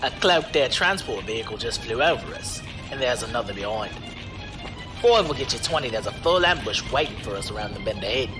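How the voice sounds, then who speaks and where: Male actor as roguish mercenary driver, animated intonation, teasing, unflappable, slangy, audio drama, music underneath. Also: mid-range voice, a little fast, natural voice. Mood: breezy, wry. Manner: breezy, teasing, folksy slang. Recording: music behind the voice.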